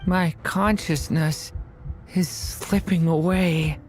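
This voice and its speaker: A woman voicing a gentle young dragon boy in a video game, earnest and halting. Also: on the low side, fairly even intonation, soft background music. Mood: weak, fading.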